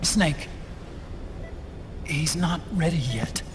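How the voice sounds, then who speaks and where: Worried game scientist, high-pitched, earnest, pleading, noisy vehicle ambience. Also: male voice, very slow. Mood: concerned. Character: anxious, despairing male scientist sidekick, earnest and pleading. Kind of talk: dramatic video game cutscene acting.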